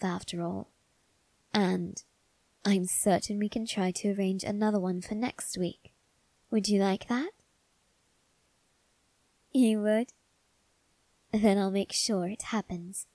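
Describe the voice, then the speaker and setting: A woman's voice: mid-range voice, steady tone, unhurried, pauses often, no room sound. A woman voicing a suave, doting young male vampire aristocrat in an ASMR roleplay, speaking to the listener in a genteel, coaxing, faintly sinister way. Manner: genteel, coaxing, softly condescending.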